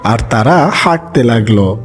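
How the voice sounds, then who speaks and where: A man narrates a children's story in a medium-pitched voice. His scripted storytelling runs over background music. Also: expressive, measured pace.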